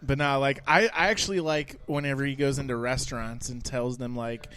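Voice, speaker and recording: A man's voice: medium pitch, steady tone, a little fast, fairly bright tone, clean tone. A man hosting a casual podcast, teasing his co-host and swearing freely. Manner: joking, profane, teasing.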